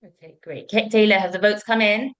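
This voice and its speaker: A woman's voice: medium pitch, fairly even intonation, a little fast, fairly bright tone, highs cut off. A woman hosting an online panel in an informal, conversational way. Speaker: webinar host.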